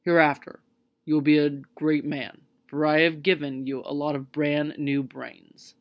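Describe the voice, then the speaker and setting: A woman's voice: sits low, flat delivery, unhurried. A woman voicing a little old male wizard, a polite and firm humbug, in a storybook reading. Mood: obliging.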